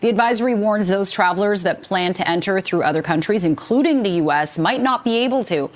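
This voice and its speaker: A woman's voice: mid-range voice, a little fast. A woman reading scripted news narration as a news reader.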